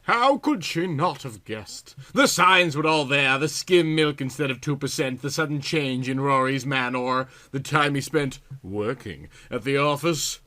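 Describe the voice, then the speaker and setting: A man's voice: medium pitch, a little slow, few pauses, pushed and bright. A man reading a story aloud in a theatrical, exaggerated, melodramatic comic style. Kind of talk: melodramatic comic audiobook narration.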